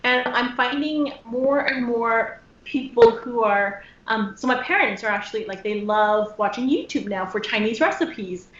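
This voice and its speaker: A woman's voice: fairly high pitch, animated, unhurried, hardly any pauses, a bit bright. Friendly woman on a dull, roomy call. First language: Cantonese as second language. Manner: friendly and inquisitive, laughs lightly. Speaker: co-host. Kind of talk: casual chat.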